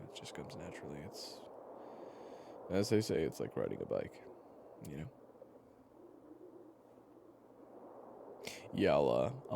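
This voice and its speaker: A man playing a weary but gentle storyteller with a regretted past, talking casually and haltingly to the listener in an intimate audio roleplay. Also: very deep voice, gravelly, noisy background, exaggerated voice. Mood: easygoing, reassuring. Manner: casual, halting, with sighs and pauses.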